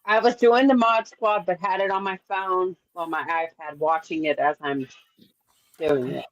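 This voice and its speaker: A woman's voice: lowish voice, fairly even intonation, normal speed, no room sound, highs cut off. A woman of about forty chatting casually on a podcast panel. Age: 40s. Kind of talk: casual chat.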